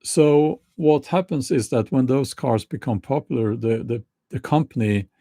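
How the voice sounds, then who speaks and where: A middle-aged man with a Norwegian accent talking casually to camera, slow and halting with many restarts. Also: medium pitch, dark tone, no room sound, studio quality. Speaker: YouTuber.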